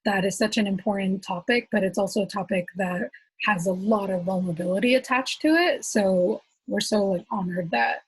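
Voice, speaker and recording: Woman, interview host, affirming, breathy, dry recording. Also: medium pitch, normal speed, no room sound, rough recording. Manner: warm, affirming, many "mm-hmm" backchannels. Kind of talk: casual interview hosting.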